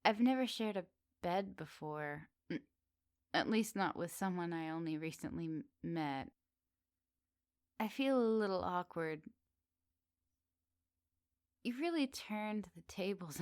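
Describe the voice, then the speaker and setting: Woman playing a slow-spoken teasing witch. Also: fairly low pitch, no echo, clean studio sound. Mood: flustered, shy. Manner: soft, teasing, giggly, unhurried. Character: teasing, flirty, lonely witch in a woodland cottage. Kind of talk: intimate ASMR roleplay acting.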